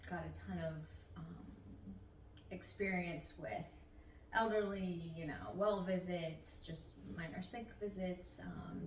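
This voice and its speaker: Low-voiced middle-aged woman, distant roomy mic. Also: slight background noise. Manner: easygoing, talkative, slightly meandering. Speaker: nurse practitioner interviewee. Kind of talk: casual interview answers.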